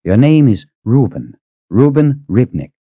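A man voicing a big gruff middle-aged male coachman who sounds weary and patient. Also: mid-range voice, very animated, a little slow, no echo, narrow, tinny audio, voice pushed up.

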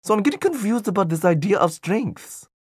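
A man's voice: high voice, expressive, brisk, slightly rough, no room sound, studio quality. A man voicing an older male Buddhist teacher in an audiobook dialogue, earnest and questioning, thinking aloud as he searches for meaning. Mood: puzzled. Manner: questioning, searching, thoughtful delivery.

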